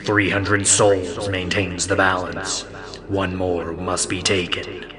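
A man doing a rasping, echoing ghost. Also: deep voice, flat delivery, a crowd in the background. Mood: ominous. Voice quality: rasping, echoed. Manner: slow, solemn pronouncements. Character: rasping ghostly spirit leader, cold and slow.

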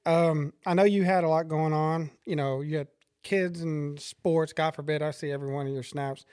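A woman's voice: low voice, steady tone, normal speed, darkish tone. A woman in her thirties hosting a casual podcast, chatty and teasing, asking her friend questions. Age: 30s. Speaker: podcast host.

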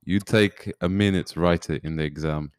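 A man's voice: deep voice, moderate pace, dry recording, studio quality. A man, co-hosting a casual podcast with short, laid-back remarks. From Portugal. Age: 20s. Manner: laid-back, brief remarks, laughs easily. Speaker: podcast co-host. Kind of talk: casual chat.

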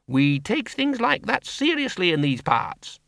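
A man voicing a sharp-tongued older spinster who runs a farm, talking bluntly in country speech. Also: high voice, very animated, gravelly, no echo, studio quality, pitched above the performer's own voice, heavily acted. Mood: tart. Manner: brisk, blunt country talk. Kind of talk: audiobook character voice.

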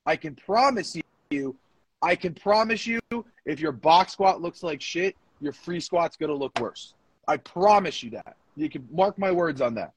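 A man's voice: a little high, expressive, unhurried, slight background noise, rough recording. A man co-hosting a casual talk podcast, blunt and insistent, often repeating phrases for emphasis. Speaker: co-host, powerlifting coach. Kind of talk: casual podcast banter and opinion.

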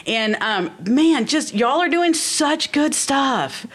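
A woman's voice: high-pitched, animated, slow, a bit bright. A woman hosting a casual interview chat in a bubbly, encouraging way. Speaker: host.

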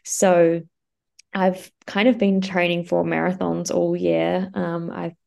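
A young Australian woman co-hosts a chatty podcast. Her voice sits low with a gravelly fry, and a cold leaves her sounding stuffed up. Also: flat delivery, slow pace, darkish tone. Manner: chatty, with fillers and laughs. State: blocked-up nose from a cold. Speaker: podcast co-host. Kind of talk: casual podcast chat.

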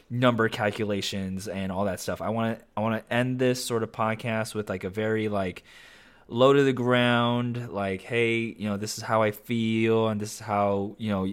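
A young man hosting a solo podcast, talking casually with lots of fillers and self-corrections, his voice slightly raspy. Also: fairly low pitch. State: slightly raspy voice with occasional coughing. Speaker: podcast host.

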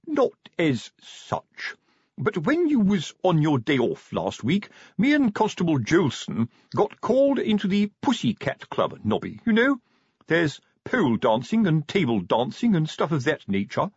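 A man's voice: fairly high pitch, huge pitch swings, a little slow, slightly rough, no echo, lo-fi sound, pitched above the performer's own voice, heavily acted. A man voicing a pompous, fatherly older police sergeant in an audiobook, hesitant and prudish in his colloquial talk. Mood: earnest, embarrassed. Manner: hesitant, self-important, colloquial working-class phrasing. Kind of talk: audiobook character dialogue.

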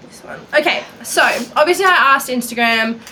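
Woman, podcast co-host, blunt and swearing, bright higher voice. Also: expressive, moderate pace, a little hiss or hum, rough recording. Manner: blunt, laughing, swears freely. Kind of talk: casual chat.

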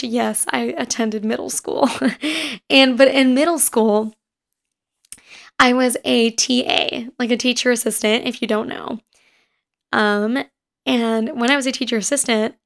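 A woman in her twenties with a raspy voice, hosting a casual podcast and rambling quickly through personal stories. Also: fairly high pitch, a bit bright. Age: 20s. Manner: fast-talking and rambling. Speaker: podcast host. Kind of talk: casual chat. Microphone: home recording setup.

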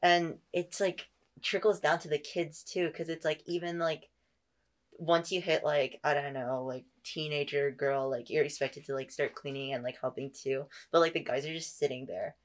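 A woman's voice: low-pitched, steady tone, normal speed. A young woman chatting casually as a podcast guest, hedging her points with playful, wry slang. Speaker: podcast guest, staff member. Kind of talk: casual personal chat.

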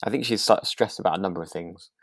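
A woman's voice: rumbling low, rapid-fire, no room sound, clean studio sound. A woman co-hosting a podcast, chatting casually about a drama in a hesitant, giggly way, thinking aloud. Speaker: podcast co-host. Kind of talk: casual chat reviewing a radio drama.

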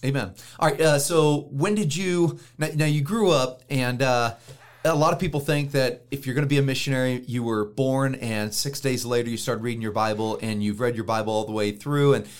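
A man's voice: medium pitch, steady tone, moderate pace, clean tone. A man hosting a casual church interview, friendly, with frequent "amen" affirmations between the answers. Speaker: interview host.

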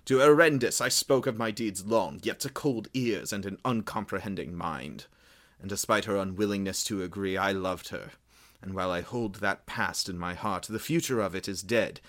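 A man voicing a proud, bitter young prince who speaks forcefully and resentfully. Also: low-pitched, moderate pace.

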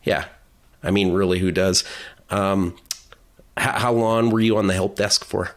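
Low-pitched man, easygoing interviewer. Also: steady tone, a little slow. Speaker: podcast host. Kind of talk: casual conversational interviewing.

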